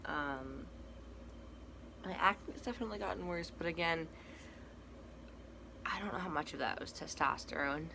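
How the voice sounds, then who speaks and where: A young man with a cracky, breaking voice, giving a casual personal update in a halting, soft-spoken way. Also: a little high, gravelly. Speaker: vlogger. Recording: noticeable background noise.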